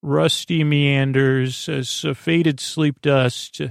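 A man with a creaky, gruff voice hosting a sleep podcast, rambling in a halting, meandering way that drifts off into tangents. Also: a little high, steady tone, no echo, clean studio sound. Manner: halting and digressive, trailing into tangents. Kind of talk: rambling, meandering bedtime monologue.

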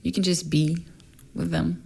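Woman, low voice, gravelly fry, soft-spoken and thoughtful. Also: level tone, measured pace. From Sweden. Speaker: workshop teacher. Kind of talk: casual conversation.

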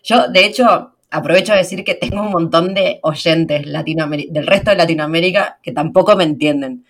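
A young woman with an Argentine Spanish accent, hosting a podcast in fast, slangy, chatty talk. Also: fairly low pitch. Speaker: podcast host. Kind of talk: casual podcast chat.

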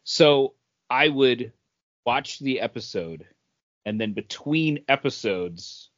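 A man's voice: medium pitch, lively intonation, takes it slowly, fairly bright tone, dry recording. Man in casual chat, dry muffled sound. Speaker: podcast co-host. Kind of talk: casual pop culture chat.

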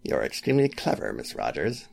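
A man's voice: a bass voice, wildly swooping pitch, brisk, creaky, a little mellow, heavily acted. A man playing a scheming, formal lawyer with a killer's intensity in a 1940s-style radio drama. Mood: cold menace. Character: scheming, smooth-talking male lawyer turned desperate killer. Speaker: voice actor.

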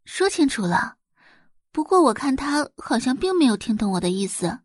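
A vain, spiteful young woman voiced by a female narrator in an audio drama, scornful and melodramatic. Her voice swings widely in pitch, with a slightly husky edge and a dry, close sound. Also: sing-song, moderate pace, no echo, full character voice. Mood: smug.

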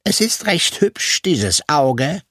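A man's voice: a little high, sing-song, slow, a bit bright, breathy edge, no echo, studio quality, voice pushed up, heavily acted. Man putting on a pointed, sing-song little bearded man. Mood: fussy, mocking. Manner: words spaced apart, faintly mocking. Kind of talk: storybook reading.

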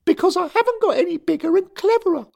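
A man voicing a sulky, furious 13-year-old boy ghost who shouts shrilly and calls everyone sir. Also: extremely high pitch, animated, fast talker, gravelly, voice pushed up, exaggerated voice. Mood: furious whining.

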